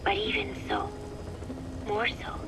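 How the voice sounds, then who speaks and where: An actress playing a wistful young woman, speaking softly and deliberately in an intimate taped diary for a video game. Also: medium pitch, big pitch swings, music in the background. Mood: wistful, resigned.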